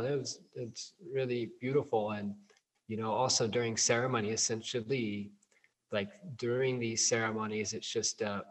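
Laid-back man answering interview questions. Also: fairly low pitch. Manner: relaxed, many fillers like um and you know. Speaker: guest, plant medicine provider. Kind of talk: interview answers over a call.

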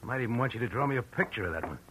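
An actor playing a suspicious, needling tough hired hand with slangy sarcasm, in 1940s radio style on an old recording. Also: male voice, mid-range voice, animated, very fast, background noise, close to an everyday voice. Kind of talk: hard-boiled 1940s radio acting.

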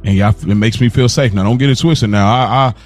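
A young man co-hosting a podcast in casual chat, telling stories in an animated way and laughing often. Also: mid-range voice, brisk, faint music behind. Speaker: podcast co-host.

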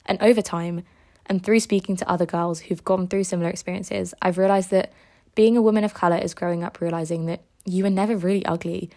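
A woman's voice: on the low side, fairly even intonation, a little fast, warm tone. A young woman hosting a podcast, reading a scripted personal essay in an earnest tone. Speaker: podcast host.

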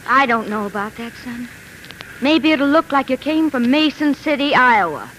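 A woman's voice: high voice, animated, moderate pace, a bit bright. An actress playing a fond, plain-spoken small-town mother in a homely 1940s radio style. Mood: fond, gently proud. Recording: noisy vintage 1940s radio recording.

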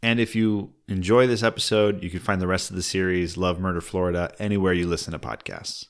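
A man's voice: deep voice, fairly quick, smooth. A man hosting a podcast, introducing a show in a casual, informal and enthusiastic way.

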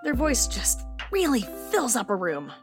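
A woman's voice: a little high, wildly swooping pitch, a little slow, over music. A woman playing a petty, indignant neighbor in a wry, theatrical yet conversational comic monologue. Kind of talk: comic monologue in audio drama.

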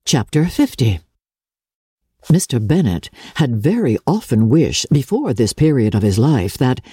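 A woman narrating a classic novel as a dramatic audiobook, with measured and expressive storytelling. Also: extremely low pitch, breathy edge, no echo, clean studio sound.

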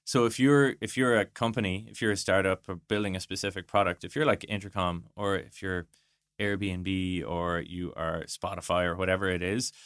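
Deep, smooth-voiced man explaining conversationally. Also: a bit bright, no echo, clean studio sound. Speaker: interview guest, product design director.

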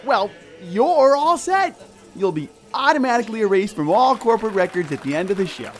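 A woman's voice: mid-range voice, animated, a little fast, soft background music, close to an everyday voice. A woman voicing a nervous, chatty young woman on her first day at a job, fumbling her words. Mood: flustered. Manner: fumbling and talkative.

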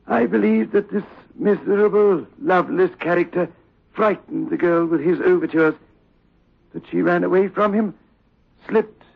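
Grave caring psychiatrist in a 60s radio play, slow gravelly talk, dull old recording. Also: male voice, fairly high pitch. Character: composed male psychiatric doctor, gravely reflective and compassionate. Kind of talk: 1960s radio drama acting.

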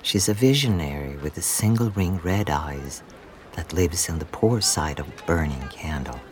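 A man's voice: very deep voice, lively intonation, a little slow, a little mellow, faint music behind. A man reading scripted scene narration for an audio drama.

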